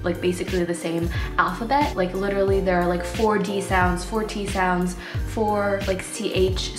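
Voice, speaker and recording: A woman's voice: medium pitch, steady tone, normal speed, reverberant, music in the background. A young woman whose first language is Nepali, giving casual tips in a chatty vlog full of fillers. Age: young adult. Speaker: vlogger.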